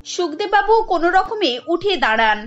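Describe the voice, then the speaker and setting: High-voiced woman narrating a cartoon. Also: big pitch swings, moderate pace, dull audio, faint music behind. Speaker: narrator and voice actor.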